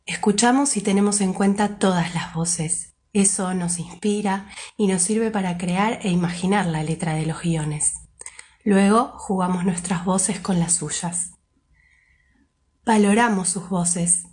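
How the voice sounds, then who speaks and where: A woman with a medium-pitched voice reads out a prepared presentation as a member of an association. The delivery is formal and explanatory, in complete written sentences. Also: measured pace, darkish tone, a little hiss or hum. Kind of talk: scripted presentation.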